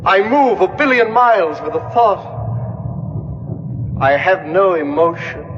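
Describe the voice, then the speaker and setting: A man playing a brave young alien turned bodiless spirit, proclaiming in measured, otherworldly tones with an echo effect in an old radio drama. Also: high-pitched, lively intonation. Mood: awed, detached. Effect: long echo on the voice. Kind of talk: old-time radio sci-fi drama acting. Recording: long reverb, dull old radio sound. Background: music.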